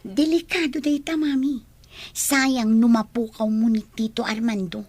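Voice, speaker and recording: A woman's voice: a little high, steady tone, measured pace, a little mellow, slightly rough, no room sound. A woman voicing a spoiled, haughty young daughter in a radio drama, bossy and whiny. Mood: pouty.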